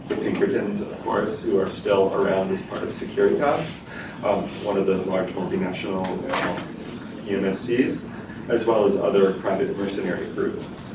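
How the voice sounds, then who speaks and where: A low-voiced man lays out his points slowly and hesitantly. He sits away from the mic, and the room echo and noise come through. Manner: measured, hesitant with many ums. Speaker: panelist. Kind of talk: panel talk. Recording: distant, roomy, noisy live recording.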